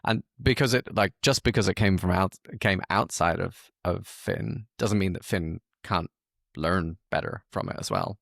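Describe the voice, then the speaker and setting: A man with a low voice and a gravelly edge hosts a casual interview. He talks haltingly, circling back to restart phrases, in a dry, close sound. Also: a bit bright, no echo. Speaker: host.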